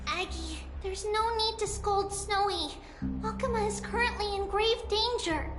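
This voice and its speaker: A woman's voice: extremely high pitch, measured pace. A woman voicing a small, sweet and earnest little girl in a video game. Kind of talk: scripted video game voice acting. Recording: echoey, long reverb, music behind. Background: game music.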